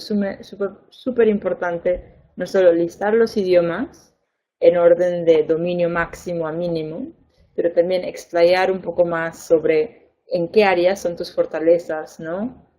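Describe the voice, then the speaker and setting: Young woman advisor, native Spanish speaker, warm low voice, patient explainer. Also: poor audio. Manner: clear, patient explaining with fillers and repeated emphasis. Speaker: education advisor. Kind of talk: webinar lesson.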